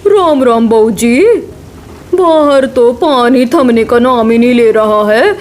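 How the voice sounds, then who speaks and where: Female, high voice, eager polite village figure for cartoon storytelling acted by a woman. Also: takes it slowly, slight background noise. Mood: polite, relieved. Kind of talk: Hindi cartoon story voice acting.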